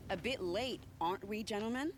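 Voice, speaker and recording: A woman's voice: medium pitch, brisk, very noisy, lightly acted. An actress playing a witty, sly woman spy in a video game, with an arch, teasing, flourishing delivery. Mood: defiant, playful. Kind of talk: video game cutscene acting. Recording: music behind the voice.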